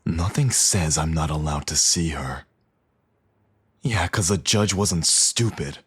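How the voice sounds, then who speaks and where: A man's voice: rumbling low, slow pace, a bit bright, breathy edge, dry recording, heavily acted. A man playing a cocky villain in an intimate audio roleplay, with a teasing, smug, flirtatious drawl.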